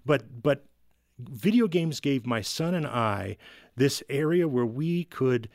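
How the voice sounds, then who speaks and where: Middle-aged male podcast guest-reviewer, mid-pitched, casual and halting, very dry recording. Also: animated, darkish tone, no echo. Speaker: video game reviewer, podcast regular. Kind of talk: casual conversational discussion.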